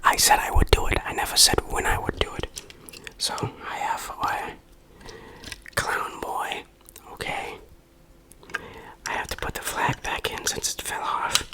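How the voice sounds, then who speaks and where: Slow, fully whispered ASMR host. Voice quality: breathy unvoiced whisper. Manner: playful, dry self-mocking humour. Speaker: ASMR video host and game reviewer.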